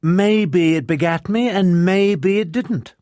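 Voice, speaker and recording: A man narrating a story, putting on the voice of a forceful, sharp-tongued young noblewoman who lectures crisply. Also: fairly high pitch, very animated, a little fast, no room sound, studio quality, pitched above the performer's own voice.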